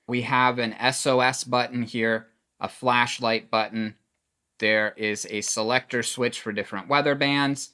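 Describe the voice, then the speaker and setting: A man's voice: mid-range voice, fairly even intonation, measured pace, sharp tone, smooth. A man giving a casual, plain-spoken product review, explaining features as he demonstrates them.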